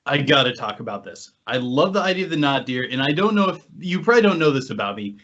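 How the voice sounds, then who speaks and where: A man's voice: mid-range voice, big pitch swings, quick pace, fairly bright tone. A man co-hosting a podcast, chatting casually and putting questions to a guest. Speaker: podcast co-host. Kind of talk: casual chat and interviewing. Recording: dull lo-fi audio.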